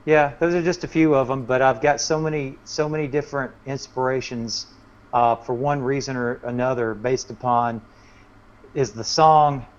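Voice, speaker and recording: A man's voice: mid-range voice, steady tone, moderate pace. A man speaking as a country musician in a casual interview, explaining things in a rambling way. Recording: muffled, lo-fi audio with faint noise.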